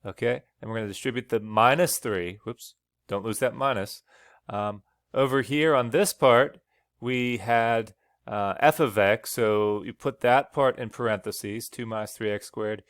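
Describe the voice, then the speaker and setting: Smooth-voiced male teacher explaining step by step. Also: mid-range voice, dry recording, studio quality. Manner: explains step by step, frequent "okay?" checks. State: slightly losing his voice. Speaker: math teacher. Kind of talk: recorded slide lecture.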